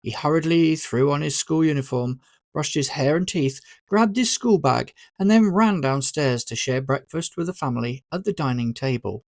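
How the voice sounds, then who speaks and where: An author narrating a story in an expressive, deliberate, scripted audiobook style. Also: medium pitch, no room sound, clean studio sound.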